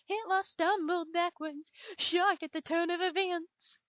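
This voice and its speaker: Woman putting on a very high Southern belle dragon storyteller. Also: steady tone, no echo. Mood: dramatic storytelling. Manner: theatrical, drawn-out emphasis. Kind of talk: dramatic fan fiction reading. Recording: dull lo-fi home recording.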